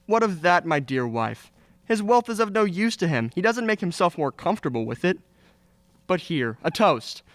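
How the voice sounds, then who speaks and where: A woman acts a good-natured young gentleman in an old-time radio drama. The voice is low for a woman, hearty and animated, with a dry, close sound. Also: normal speed, no echo. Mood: generous, hearty. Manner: jovial, chuckling, hearty.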